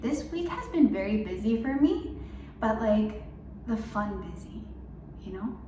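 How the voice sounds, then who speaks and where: A woman's voice: fairly high pitch, lively intonation, a little slow, dark tone, slight background noise. A young woman from Mexico chatting in a casual, upbeat devotional vlog, full of fillers like "um" and "like". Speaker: faith vlogger. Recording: roomy, a bit far from mic.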